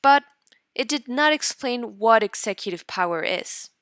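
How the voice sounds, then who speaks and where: A woman teacher reading aloud for students in an instructional way, deliberately and in short guiding phrases. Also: on the high side, expressive, fairly bright tone, dry recording, studio quality. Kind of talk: instructional read-aloud lesson narration.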